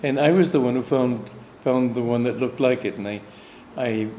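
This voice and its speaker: American swami guy rambling through a story, mid-pitched voice, roomy echo, faint hum behind. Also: moderate pace, darkish tone. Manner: meandering anecdotal storyteller. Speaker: swami, spiritual teacher. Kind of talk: personal storytelling talk. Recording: echoey room, faint ambience.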